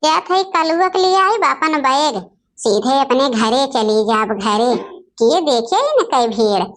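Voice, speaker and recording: A woman voicing grumbling, gossipy village sisters-in-law in a cartoon, speaking with an Awadhi accent and a drawn-out delivery. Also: sits high, a bit bright. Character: scheming, complaining village women, sisters-in-law in family squabble. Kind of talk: cartoon dialogue in rural dialect.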